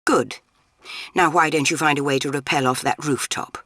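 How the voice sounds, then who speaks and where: An actress playing a stern older British spy chief in a video game, clipped, commanding and dryly disapproving. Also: female voice, low voice, fairly even intonation, normal speed, bright, forceful tone.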